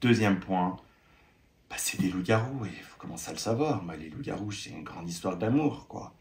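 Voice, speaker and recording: A man's voice: low-pitched, animated, normal speed, darkish tone. A young man vlogging about upcoming books, chatty and playful, reading blurbs aloud and adding joking asides. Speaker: book vlogger. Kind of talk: casual book review, reading blurbs aloud. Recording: echoey room.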